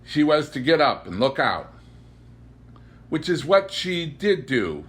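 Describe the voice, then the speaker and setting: A man's voice: a little high, big pitch swings, takes it slowly, fairly bright tone, pitched above the performer's own voice, full character voice. A man voicing a precocious, sweetly brazen young boy in an audiobook, speaking in a proud, emphatic, declamatory way. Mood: gleefully confessing.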